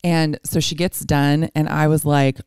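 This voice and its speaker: A woman's voice: sits low, little pitch movement, measured pace, darkish tone, clear, resonant tone, no room sound, studio quality. A woman in her forties chatting casually and candidly, with a self-deprecating way of talking. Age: 40s. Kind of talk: casual chat.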